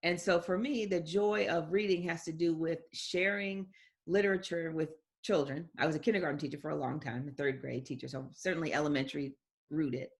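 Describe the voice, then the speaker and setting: A woman's voice: deep voice, fairly even intonation, brisk. Reflective middle-aged woman educator. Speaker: guest educator. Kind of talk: conversational interview answers.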